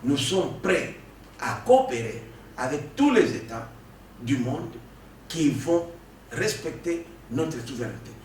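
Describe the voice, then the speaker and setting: A man speaks with insistent, animated energy, often repeating and restarting words as he presses his point. His voice sits a little high and sounds distant from the microphone. The room echoes, and there is faint noise. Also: slow pace. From Democratic Republic of the Congo. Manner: emphatic, animated, with frequent restarts and stammers. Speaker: political guest. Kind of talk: political interview.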